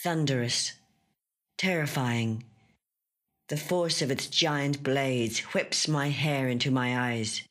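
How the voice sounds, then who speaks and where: A woman actor reads a literary short story in a dramatic, measured and deliberate narrating style, recorded in a studio. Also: rumbling low. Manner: measured, deliberate, repeating phrases for weight.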